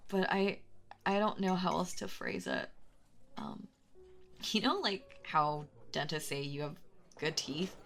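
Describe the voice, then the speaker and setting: Soft music plays behind a shy, adorkable young woman, acted by a woman in an audio roleplay. She speaks slowly with a creaky edge, stammering and second-guessing herself as she rambles. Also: lowish voice. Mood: awkward, flustered. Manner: hesitant, stammering, nervously giggly, self-doubting. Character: very shy, anxious, rambling adorkable young female stranger in cafe. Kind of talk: intimate audio roleplay, rambling chat addressed to listener.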